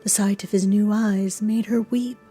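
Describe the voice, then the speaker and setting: A woman narrating a horror audio drama in a solemn, hushed, deliberate and dramatic storytelling manner. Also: medium pitch, fairly even intonation, slightly rough, faint music behind, close to an everyday voice.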